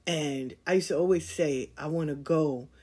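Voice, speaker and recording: A woman hosting a podcast, speaking in in a candid storytelling way that swings from loud emphasis to quiet asides. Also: low-pitched, normal speed, husky. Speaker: podcast host. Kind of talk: casual monologue.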